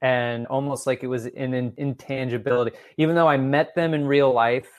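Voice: medium pitch, fairly even intonation, brisk. A podcast co-host in easygoing casual chat who laughs often.

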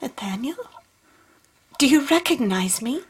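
A woman's voice: high-pitched, expressive, measured pace, breathy edge, full character voice. An actress playing an anxious, protective wife and mother in a radio drama, questioning with worried insistence. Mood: anxious, tender.

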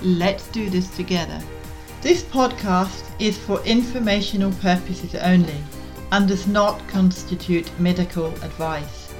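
A woman's voice: lowish voice, unhurried, soft background music. A woman hosting a parenting podcast, reading a supportive intro and welcoming her guest. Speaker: podcast host. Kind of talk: scripted intro and interview.

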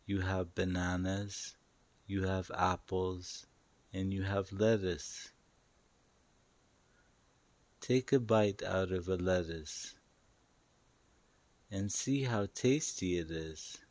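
A man's voice: low voice, steady tone, slow, pauses often, a little mellow, no echo. A man narrating a guided meditation in a soothing, instructive, hypnotic manner, repeating short phrases.

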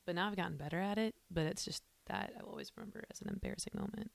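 Woman, low voice with gravelly fry, very fast and rambling. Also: no echo. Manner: fast talker, nervous rambling. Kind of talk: casual chat.

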